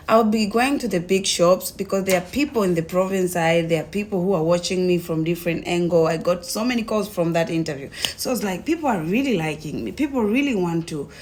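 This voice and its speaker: A young woman with a Tanzanian accent tells her own story casually, in an animated way, acting out conversations as she goes. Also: on the low side, a little hiss or hum. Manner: animated retelling, acts out quoted conversations.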